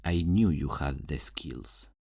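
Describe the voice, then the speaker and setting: Man playing a deep-voiced, unhurried, flirtatious rogue thief. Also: gravelly, darkish tone, no echo, studio quality, heavily acted. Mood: teasing, admiring. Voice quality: low voice. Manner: teasing, theatrical, smugly self-assured, uses endearments. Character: cocky, flirtatious male thief in a fantasy town. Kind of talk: fantasy ASMR roleplay, addressing the listener.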